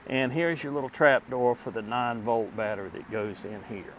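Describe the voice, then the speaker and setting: A middle-aged man giving a casual show-and-tell to camera in a halting, deliberate way. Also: medium pitch, a bit bright, rough recording. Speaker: host of a vintage gadget video series. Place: outdoors at a table in a wooded yard. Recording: outdoor ambient noise behind voice.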